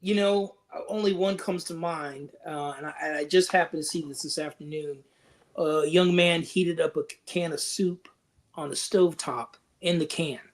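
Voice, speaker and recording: A man's voice: on the high side, steady tone, moderate pace. A man talking casually and telling stories as a podcast panelist. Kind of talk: casual chat, storytelling.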